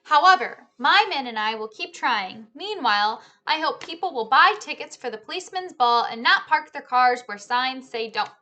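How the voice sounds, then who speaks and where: A woman voicing a matter-of-fact father in a children's storybook, reporting the news in a measured way. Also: sits high, lively intonation, sharp tone. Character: father reading newspaper aloud at breakfast, matter-of-fact.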